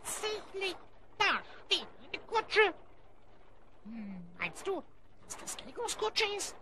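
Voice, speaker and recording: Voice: extremely high pitch, very animated, slow, noisy background, heavily acted. An actor playing a small, cheeky, always hungry elf goblin who grumbles in a children's radio play. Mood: curious, excitable.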